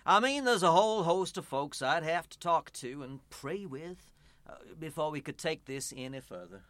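Male actor as kindly church pastor, bright lively voice, hesitant stammer, gently noncommittal, radio drama. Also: on the high side, normal speed, no echo. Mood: measured, evasive. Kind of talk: naturalistic radio drama dialogue.

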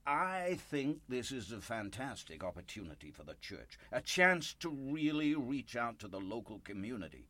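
A man acts out an older small-town believer in a radio drama, nervous and full of zeal. His pitch is very animated, sliding up and down as he rambles in folksy, pious phrases. The sound is dry, with little room around it. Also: moderate pace, few pauses, no room sound. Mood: coaxing, hesitant. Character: earnest, folksy older born-again businessman, nervous and zealous. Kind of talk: radio play acting.

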